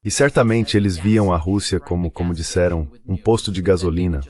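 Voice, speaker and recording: A man's voice: low-pitched, a little fast, a little mellow, no echo. A man giving political commentary as a panel guest, explaining things step by step.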